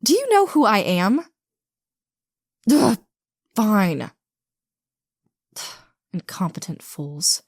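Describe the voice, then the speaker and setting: A woman's voice: medium pitch, huge pitch swings, extremely slow, pauses often, breathy edge, no echo, studio quality, full character voice. A woman playing a snobbish, sarcastic movie star with a condescending, theatrical drawl in an audio roleplay. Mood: exasperated, haughty. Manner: condescending, theatrical drawl, calls others darling. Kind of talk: scripted audio roleplay acting.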